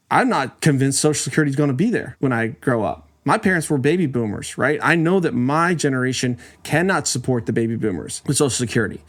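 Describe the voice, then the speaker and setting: A man in his forties, hosting a podcast, talking through money math in a casual, conversational way with lots of rhetorical questions. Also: fairly high pitch. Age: 40s. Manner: conversational, explains numbers step by step, asks rhetorical questions. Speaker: podcast host.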